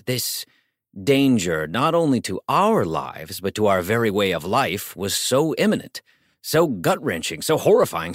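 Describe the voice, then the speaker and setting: A man's voice: deep voice, no echo, studio quality. An American man narrating an audiobook in a theatrical, mock-grave, tongue-in-cheek way with emphatic delivery.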